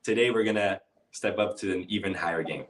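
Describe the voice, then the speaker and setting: A man who hosts a live speaking workshop and coaches with encouraging energy, calling participants brother. English isn't his first language. His voice sits a little low and comes through a roomy space from a slight distance. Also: fairly quick, a bit bright. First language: not English. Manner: calls participants brother and bro, upbeat coaching.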